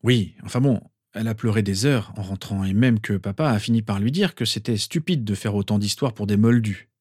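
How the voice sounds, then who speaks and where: A man's voice: fairly low pitch, a little fast, a little mellow, breathy edge, no room sound. A casual storybook teenage son, read by a man, chatty and unbothered in the way he talks. The sound is dry and close. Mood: reassuring. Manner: offhand, chatty.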